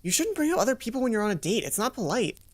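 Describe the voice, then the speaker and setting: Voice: medium pitch, very animated, rapid-fire, voice pushed up. A performer voicing an anxious, eager schoolgirl in a visual novel game, earnest and smitten. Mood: flustered, pleading.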